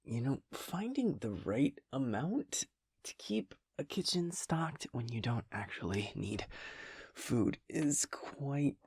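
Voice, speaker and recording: A man playing a playful, affectionate vampire boyfriend in an intimate audio roleplay, talking casually and teasingly in a breathy, gravelly voice that often drops near a whisper. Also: mid-range voice, big pitch swings, slow, a little mellow, dry recording, studio quality, full character voice. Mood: mildly grossed out. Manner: wry, teasing, hesitant, chuckling casual delivery.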